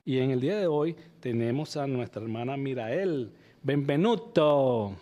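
Man hosting, dark mellow voice. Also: mid-range voice, unhurried. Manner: earnest, leads prayers in a steady recitation. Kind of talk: broadcast interview with opening prayers.

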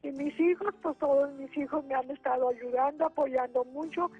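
A woman's voice: high-pitched, fairly even intonation, normal speed, dark tone, dry recording, soft background music. A woman in her eighties with a Mexican accent, calling in to pray and share her troubles, devout and rambling with many restarts. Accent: Mexican Spanish. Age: 80s. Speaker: caller. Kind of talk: spoken prayer and testimony. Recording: tinny phone line.

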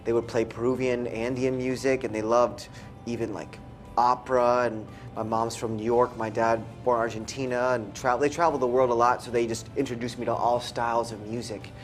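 A man chats as a musician guest in an interview. His delivery is relaxed and conversational, at an average pitch. Faint music runs underneath his voice. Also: fairly quick. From United States, after early childhood abroad. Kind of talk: casual chat.

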